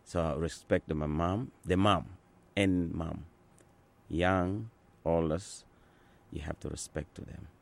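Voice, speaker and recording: A man in his sixties from Sudan, an Azande speaker talking in English, answering interview questions in an earnest, unhurried way with frequent pauses and restarts. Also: deep voice, fairly even intonation, creaky. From South Sudan. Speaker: interviewee, translator. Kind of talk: conversational interview.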